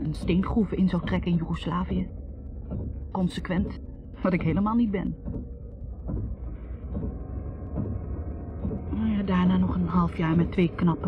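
An actress playing a candid, intense young student who analyses herself haltingly, in a confessional 1960s radio drama monologue. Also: female voice, on the low side, close to an everyday voice. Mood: wry, weary. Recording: muffled, noisy 1960s radio recording.

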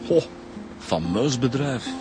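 A man's voice: mid-range voice, lively intonation, slow pace, over music. An actor in a radio drama playing an easygoing male police inspector with an informal, hesitant way of talking. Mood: casual, slightly defensive.

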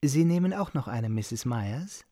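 A man's voice: mid-range voice, animated, measured pace, mellow, no room sound, clean studio sound. An actor playing an insistent, edgy host in a radio drama, lecturing his guests with emphatic formal politeness. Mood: pointedly polite. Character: male host, insistent, pontificating, edgy married storyteller.

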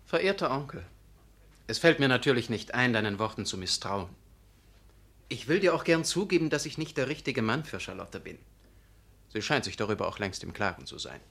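An actor playing a proud, aggrieved young curate in a radio drama, formal, self-righteous and declamatory. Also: male voice, medium pitch, very animated, a little fast, gravelly, a bit bright, heavily acted. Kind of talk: German radio play acting.

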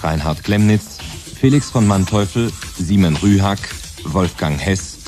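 A man's voice: deep voice, unhurried. A man reading radio drama credits as a formal scripted broadcast announcement. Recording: music behind the voice.

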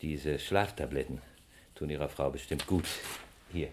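An actor playing an affable, self-assured family doctor with a reassuring manner, in a 1960s German radio crime play. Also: male voice, rumbling low, measured pace, noisy background. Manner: reassuring, jovial.

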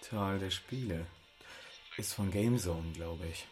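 An actor playing a laid-back, joking special agent with a laconic manner in a German radio play. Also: male voice, deep voice, takes it slowly, darkish tone, soft background music. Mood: casual. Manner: laconic, casual.

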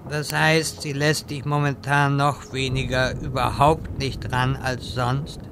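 A man playing a wise, exasperated old wizard in a fantasy parody radio play, speaking deliberately and dryly. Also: medium pitch, flat delivery, noisy background. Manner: slow, deliberate, dry.

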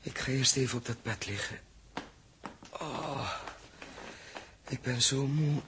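A man's voice: medium pitch, slow, background noise, close to an everyday voice. An actor playing a weary, bewildered and anxious man in a 1960s Dutch radio play, speaking hesitantly and repeating himself. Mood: dazed, agitated. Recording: 1961 radio recording, music behind.

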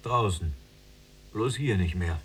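An actor playing a brooding, restless younger prisoner in a radio play, speaking earnestly and plainly. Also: male voice, low voice, expressive, takes it slowly, husky, full character voice. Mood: resigned.

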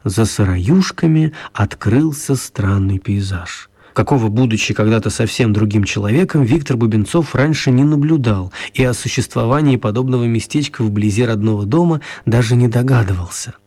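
A man narrating a children's story for radio in an expressive storytelling style. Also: medium pitch, moderate pace, few pauses, breathy edge.

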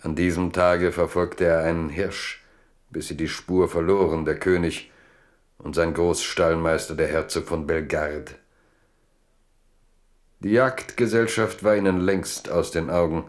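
Slow, low-voiced male narrator. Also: steady tone. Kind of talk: literary radio drama narration.

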